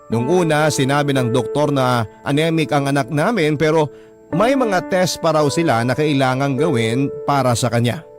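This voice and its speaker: A distraught parent narrating a radio drama, voiced by a man in a fairly high pitch. The delivery is earnest and sorrowful first-person storytelling, with music clearly playing underneath. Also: moderate pace, close to an everyday voice. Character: distraught parent recounting a child's illness to radio host.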